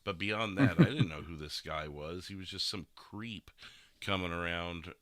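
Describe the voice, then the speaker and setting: A man's voice: deep voice, normal speed, crowd noise. A man hosting a casual podcast in a rambling, self-deprecating and joking manner. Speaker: podcast host and game streamer.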